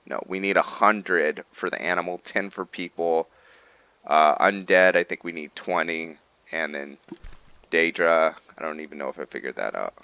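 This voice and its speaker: A man giving casual roleplay game commentary, thinking aloud in a halting way and trailing off mid-sentence. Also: sits low, pushed and bright, clean tone, dry recording, dull audio. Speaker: gaming video host.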